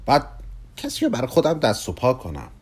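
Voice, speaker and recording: A man's voice: medium pitch, huge pitch swings, a little mellow, husky. A man voicing a restless middle-aged male professor who craves romance, whiny and pleading.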